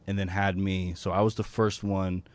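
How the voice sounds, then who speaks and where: A man's voice: low voice, level tone, moderate pace. A man from Florida chatting casually as a comedian guest in an interview, often restarting and correcting himself mid-thought. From Florida, United States.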